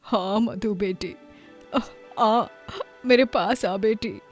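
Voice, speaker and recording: A woman's voice: medium pitch, takes it slowly, natural voice. A woman voicing a caring, motherly village mother-in-law in a cartoon story. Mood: tender. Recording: background music under voice.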